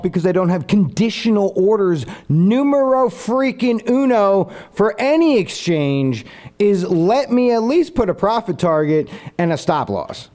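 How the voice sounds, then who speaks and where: A young man on a casual live stream, pitching an idea to his viewers in an animated, rambling way and answering their comments. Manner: reads viewer comments aloud and answers them.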